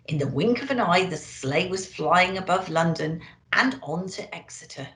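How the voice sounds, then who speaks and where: A woman speaking as a children's storyteller, expressive and deliberate, as if to young listeners. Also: fairly low pitch. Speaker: storyteller in character as a Christmas elf. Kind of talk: children's storybook reading and festive address. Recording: echoey room.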